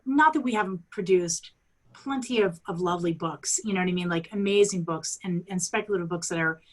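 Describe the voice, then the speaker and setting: A woman's voice: lowish voice, fairly quick. Chatty woman author on a video call. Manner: chatty, lots of fillers like you know and like. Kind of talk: casual chat.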